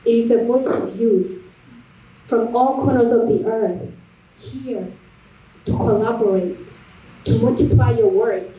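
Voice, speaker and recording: A woman delivering a rhetorical spoken word speech in an emphatic, deliberate manner. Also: a little high, mellow. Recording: echoey room, distant microphone.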